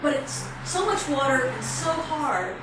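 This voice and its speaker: A woman's voice: sits high, expressive, measured pace. A middle-aged American woman, a professor, giving a chatty, self-correcting guest lecture to students with teasing asides. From United States. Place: university lecture hall. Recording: roomy, distant, noisy hall recording.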